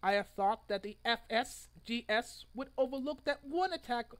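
A man's voice: very high-pitched, expressive, moderate pace, fairly bright tone, pitched below the performer's own voice. A young man doing a mock dramatic reading as a whiny, pompous, indignant young male internet protester, stilted and melodramatic. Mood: solemn, aggrieved. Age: young adult. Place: living room at home, indoors.

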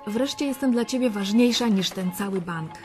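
An actress playing an affectionate, gently reproachful partner in a radio drama, intimate and pointed. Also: female voice, medium pitch, normal speed, soft background music, close to an everyday voice. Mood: pleased, pointed.

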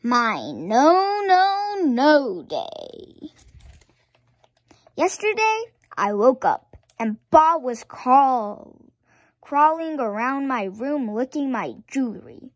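A young girl reads a storybook aloud in a high voice. Her pace is slow and halting, and her pitch swings widely as she reads with expression. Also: very animated, dry recording, clean studio sound. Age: young child. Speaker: reader. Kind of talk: read-aloud of a picture storybook.

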